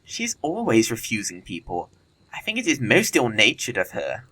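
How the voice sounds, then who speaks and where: A man's voice: medium pitch, wildly swooping pitch, moderate pace, pushed and bright, slightly rough, heavily acted. A man playing a witty young dandy in a period comedy audio play, breezy and arch in manner.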